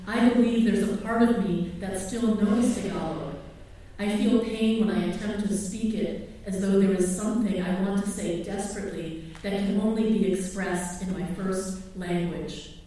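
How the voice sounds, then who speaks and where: Middle-aged woman author reading her memoir aloud, measured and reflective, mellow tone, distant mic in a very echoey room. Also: mid-range voice, steady tone. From Philippines.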